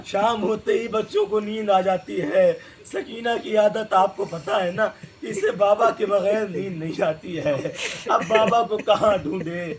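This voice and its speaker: Very high-voiced man preaching a lament. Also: few pauses. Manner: emotional, mournful, addresses the gathering directly. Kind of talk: mourning sermon recitation.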